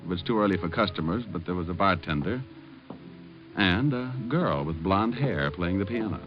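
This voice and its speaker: Male cocky, unflappable private detective: low, slangy, flippant, on a dull vintage radio recording with music behind. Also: expressive, normal speed. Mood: wry narrating. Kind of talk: old-time radio detective acting with first-person narration.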